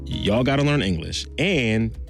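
A man co-hosting a conversational news podcast, reacting with animated, joking disbelief. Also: on the low side, a little slow, gravelly, soft background music. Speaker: podcast co-host. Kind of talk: casual conversational chat.

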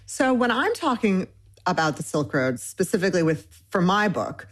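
A woman's voice: on the low side, very animated, normal speed. A woman in her forties from Michigan, a cookbook author in an interview, talking eagerly in long winding lists with plenty of fillers. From Michigan, United States. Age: 40s. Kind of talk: conversational interview.

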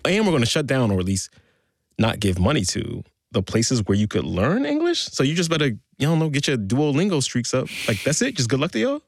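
A man hosting a conversational news podcast, quick, wry and incredulous. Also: medium pitch, very animated. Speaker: podcast host.

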